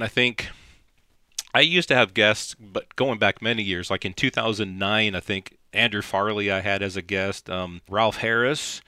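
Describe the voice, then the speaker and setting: A man's voice: fairly low pitch, bright, forceful tone, clear, resonant tone, dry recording, clean studio sound. A middle-aged man hosting a podcast in casual chat, rambling and chuckling as he talks. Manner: rambling, chuckling, self-correcting. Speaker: podcast host.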